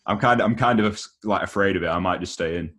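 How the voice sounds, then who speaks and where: A British man with a fairly low voice hosts an informal chat interview. He puts questions in an easygoing, slangy style. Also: brisk, fairly bright tone.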